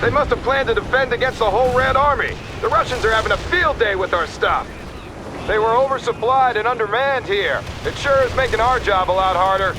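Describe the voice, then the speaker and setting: An actor playing a gung-ho, combative army captain in a military video game, talking in brash soldier fashion. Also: male voice, very high-pitched, brisk, background noise. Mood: exasperated. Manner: brash, forceful soldier talk. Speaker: army field officer.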